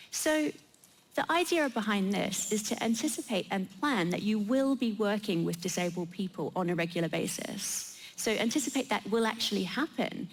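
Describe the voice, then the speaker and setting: A woman with a mid-range voice and marked vocal fry presents on a panel. She explains things methodically, point by point. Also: medium pitch, poor audio. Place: live event in a theatre.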